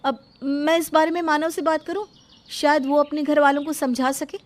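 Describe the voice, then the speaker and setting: Woman acting an earnest, high-voiced young bride-to-be. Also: steady tone, normal speed, no echo, lightly acted. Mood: upset, protesting. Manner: earnest, protesting. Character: worried young bride-to-be, a principled dutiful daughter. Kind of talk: dramatised Hindi story acting.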